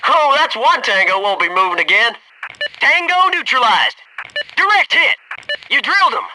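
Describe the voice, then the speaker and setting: An actor playing a cocky, keen young military squadmate in a video game, giving short clipped combat callouts. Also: male voice, extremely high pitch, sing-song, normal speed, noisy background. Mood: cocky, elated.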